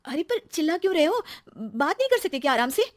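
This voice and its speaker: A woman voicing an excitable, chatty young married daughter-in-law who is easily aggrieved, in a storybook style. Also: sits high, a little fast, no room sound, studio quality, voice pushed up, natural voice.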